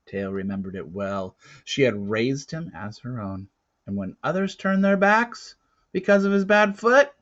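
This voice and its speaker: Slow, deliberate male storybook reader. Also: mid-range voice, fairly bright tone, lo-fi sound. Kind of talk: expressive read-aloud narration of a children's novel.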